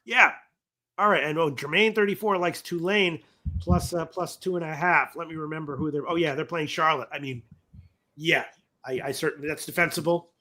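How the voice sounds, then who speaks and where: A man hosting a sports betting talk show, rambling and correcting himself as he reads viewer comments, his voice going hoarse. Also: fairly high pitch, normal speed, sharp tone. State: losing his voice. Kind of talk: casual betting talk on a livestream.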